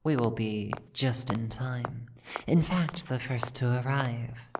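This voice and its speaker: A woman playing an imperious, seductive dragon aristocrat in an ASMR roleplay, with languid, commanding, formal speech and a breathy, gravelly voice. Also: rumbling low, slow pace, noisy background. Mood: pleased, charmed. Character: imperious seductive female dragon, possessive aristocratic mansion owner. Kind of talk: ASMR roleplay, scripted second-person acting.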